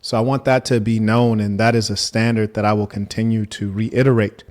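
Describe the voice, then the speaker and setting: A man hosting a debate, speaking in a measured, formal manner and asking probing questions. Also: on the low side, flat delivery, darkish tone. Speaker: debate host.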